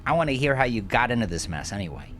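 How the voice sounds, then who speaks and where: A man voicing a swaggering, amused gangster in an audio drama, brash and teasing, with drawn-out exclamations. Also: medium pitch, very animated, fairly bright tone, soft background music, pitched above the performer's own voice. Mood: casually friendly. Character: swaggering, cocky, amused male gangster, foul-mouthed and casually charming.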